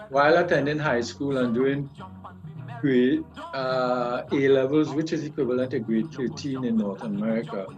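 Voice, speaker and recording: An older man with a Trinidadian accent, telling his life story in an interview, hesitant and halting. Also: medium pitch, low-quality recording. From Trinidad and Tobago.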